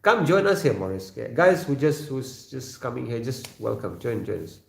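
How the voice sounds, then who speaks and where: A man with an Indonesian accent hosts a casual livestream. His voice sits at a middle pitch and has a gravelly, creaky edge. He speaks English as a second language, haltingly, repeating words and often clearing his throat, and the sound bounces around an echoey room. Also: very animated. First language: not English. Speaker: livestream host. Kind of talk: casual live chat reading viewer comments.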